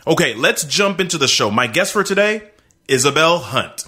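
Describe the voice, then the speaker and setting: A man's voice: fairly high pitch, sing-song, moderate pace, pushed and bright. A man hosting a podcast, upbeat and emphatic, speaking straight to his listeners. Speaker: podcast host.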